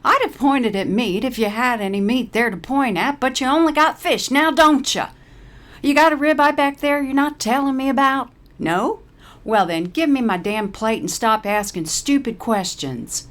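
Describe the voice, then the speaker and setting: A woman's voice: fairly high pitch, animated, normal speed, fairly bright tone, pitched above the performer's own voice. A woman voicing a cranky, sarcastic, salty-tongued old lady in an audiobook story. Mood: aggravated. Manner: surly, sarcastic, cursing.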